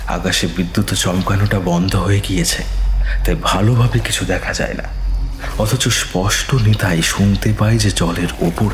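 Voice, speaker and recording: A man narrating a scripted ghost story in a slow, suspenseful manner. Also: low-pitched, echoey room, background noise.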